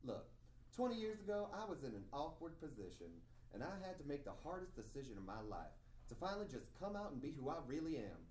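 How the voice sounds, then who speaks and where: A man acting an affectionate, candid mentor who coaxes and advises in an expressive way. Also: a little high, fast talker. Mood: earnest. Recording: echoey room, distant mic, faint noise.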